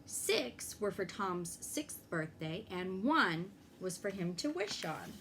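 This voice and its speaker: A woman reading a children's story aloud in an expressive way, pausing to check in with young listeners. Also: medium pitch, slight background noise. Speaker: fire inspector reading a children's book aloud.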